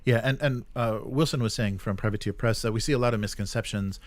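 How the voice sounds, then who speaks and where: A man's voice: medium pitch, quick pace. A man co-hosting a gaming show, talking casually through the news and often searching for his words. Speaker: co-host of a weekly tabletop gaming show.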